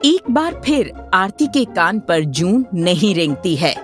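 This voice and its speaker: A woman reads a moral tale as narrator in an expressive, scripted style. Her intonation is lively and her pace is slow, with music under the voice. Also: on the low side.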